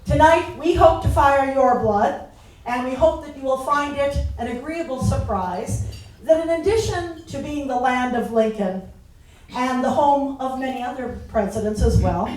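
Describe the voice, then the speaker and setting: A woman's voice: sits high, normal speed, a little mellow. An American woman from Illinois hosting a live event, welcoming and introducing the show to an audience. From Illinois, United States. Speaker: host. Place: echoey event hall with seated audience. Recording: echoey hall, distant mic, faint noise.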